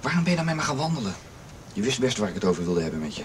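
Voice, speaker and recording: A man's voice: medium pitch, very animated, racing, slight background noise, lightly acted. A man playing a concerned, insistent young friend in a radio play. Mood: insistent, worried. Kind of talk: Dutch radio play acting.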